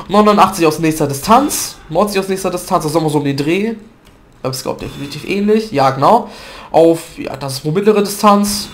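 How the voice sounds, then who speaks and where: A man with a stuffy nose from a cold, chatting loosely through gameplay commentary with fillers and self-corrections, recorded at a desk mic at home. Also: fairly high pitch. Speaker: gaming video commentator.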